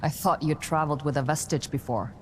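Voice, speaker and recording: An actress playing a tough, guarded young warrior in a video game, blunt and impatient, with a dry, no-nonsense delivery. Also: female voice, deep voice, steady tone, brisk, darkish tone, a little hiss or hum, lightly acted. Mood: dry, wary. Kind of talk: cinematic video game acting. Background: background music.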